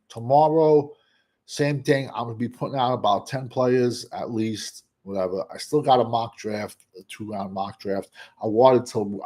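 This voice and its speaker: A man hosting casual football draft talk, blunt and rambling, with what he calls a monotone voice. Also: fairly low pitch. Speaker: draft analyst host. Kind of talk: casual draft commentary to camera.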